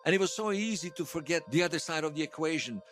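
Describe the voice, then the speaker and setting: A man's voice: on the high side, lively intonation, fast talker, fairly bright tone, faint music behind. An actor playing a regretful, bitter man who is hailed as a hero, confessing his shame in an audio drama. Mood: bitter, ashamed. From Bosnia. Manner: confessional, self-reproaching. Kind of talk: fiction podcast drama acting.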